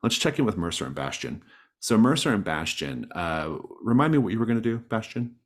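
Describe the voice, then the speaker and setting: Deep, husky-voiced man running a tabletop game. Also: big pitch swings, fairly quick. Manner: encouraging, guiding players with questions. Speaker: game master. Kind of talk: narrating a tabletop roleplaying game, part read from rules and part conversational.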